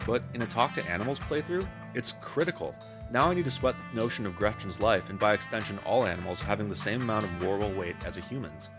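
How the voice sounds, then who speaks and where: A man's voice: fairly low pitch, animated, fast talker, hardly any pauses, music in the background. A man reading a scripted game review in a wry, wordy, casually profane way.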